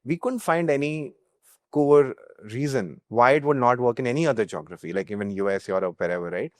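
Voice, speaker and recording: A young man with an Indian accent answering as a startup founder, candid and halting as he repeats words. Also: mid-range voice, no room sound. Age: young adult. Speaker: startup founder being interviewed. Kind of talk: conversational interview.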